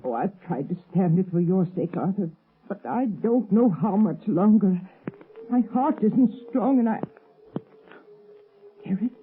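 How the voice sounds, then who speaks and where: An actress playing a frail, terrified middle-aged wife, quavering and pleading in melodramatic old radio style. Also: female voice, mid-range voice, lively intonation, unhurried, pauses often, breathy edge. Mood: fearful pleading. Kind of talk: 1930s radio acting. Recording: tinny old radio recording.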